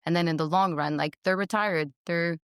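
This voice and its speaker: A woman's voice: fairly low pitch, fairly even intonation, clear, resonant tone, no room sound, studio quality. A young woman from Montreal, whose first language is Italian, talking in a chatty, upbeat way as an interview guest. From Montreal, Canada. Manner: chatty and upbeat, quick laughs. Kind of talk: casual interview conversation. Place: recorded in a workspace studio in London.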